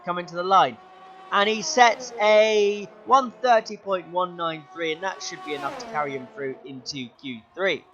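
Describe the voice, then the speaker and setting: A young man commentating his own sim race, his voice going hoarse, excitable and shouting at the big moments. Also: high-pitched, moderate pace, highs cut off. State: losing his voice. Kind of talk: gaming commentary.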